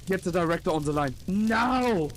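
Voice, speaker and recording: Man acting terrified high-voiced lab staffer. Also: lively intonation, moderate pace, slight background noise. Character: terrified, urgent laboratory staffer raising alarm. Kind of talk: English fan dub of an anime game.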